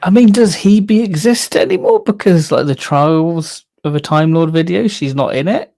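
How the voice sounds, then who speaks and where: A man's voice: fairly high pitch, moderate pace, darkish tone. A man co-hosting a casual fan stream, giving his opinions in an informal way. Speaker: co-host of a fan discussion stream.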